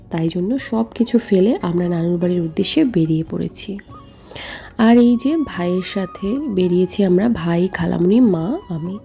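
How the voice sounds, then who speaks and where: A woman's voice: mid-range voice, warm tone. A woman narrating a home vlog voice-over, chatting directly to her viewers. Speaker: vlogger. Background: faint music.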